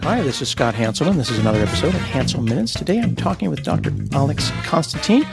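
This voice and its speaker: A middle-aged man hosting a podcast interview, talking in a relaxed, thoughtful way with occasional restarts. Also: mid-range voice, fast, creaky, loud music behind the voice. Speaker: podcast host. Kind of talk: conversational interview.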